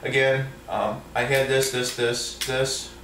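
A man's voice: medium pitch, steady tone, slow pace, a bit bright, recorded from a distance. Man, truck driver, rambling with ums, roomy echo, faint hiss. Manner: rambling, lots of ums and restarts. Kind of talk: casual show-and-tell of tools. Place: indoor room at home. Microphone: camera set up overhead, a few feet from the speaker. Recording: echoey room, voice a bit off mic.

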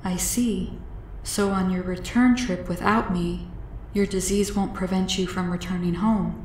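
Woman voicing a slow, gentle male alien. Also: on the low side, fairly even intonation, roomy sound, slight background noise, natural voice. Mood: earnest, questioning. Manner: slow, measured, formal phrasing. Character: gentle, curious, wistful male alien visitor.

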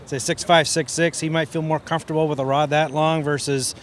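A man's voice: on the high side, little pitch movement, brisk. A man interviewing fishing pros outdoors with a handheld mic, in a laid-back, joking way. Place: outdoors at a boat launch area. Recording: faint outdoor noise.

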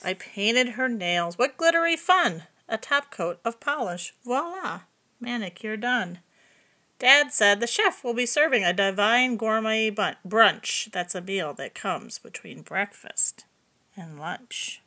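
A woman reading a picture book aloud to young children, expressive and explaining things simply. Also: a little high, slow pace. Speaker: preschool story reader.

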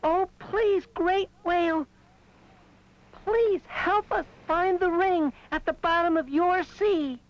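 A woman voicing an earnest, gentle young peasant boy hero in a cartoon fairy tale. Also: very high-pitched, slow. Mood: timid pleading. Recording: dull, lo-fi cartoon soundtrack.

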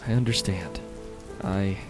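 A man's voice: sits low, measured pace, over music. A man playing a weary, determined mage in a fantasy audio drama. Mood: regretful.

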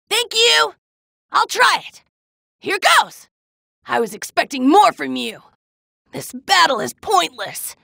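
A woman voicing an earnest, forceful teenage boy martial-arts hero, in anime video game battle lines. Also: very high voice, gravelly, dry recording, clean studio sound, heavily acted. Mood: determined, polite.

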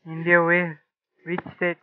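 A young man wearing a headset, chatting with strangers on a video call and pretending to be from Texas, asking slow, simple questions. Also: on the high side, monotone, clear, resonant tone, phone-quality sound, faint music behind. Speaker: prank video host. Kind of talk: casual video chat. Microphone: gaming headset.

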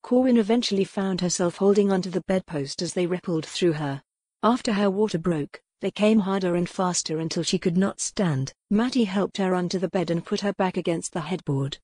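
A woman reads dramatic fiction as an audiobook narrator. Her voice sits a little low and has a husky grain. The recording is very dry. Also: fairly quick, darkish tone, no echo.

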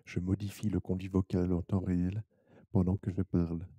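A young man repeating a scripted demonstration sentence in a measured monotone, his voice processed through a real-time vocoder. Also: low voice, breathy edge, no room sound. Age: young adult. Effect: real-time vocoder voice modification. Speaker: demonstrator of voice software. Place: small office or lab room, indoors. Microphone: headset microphone.

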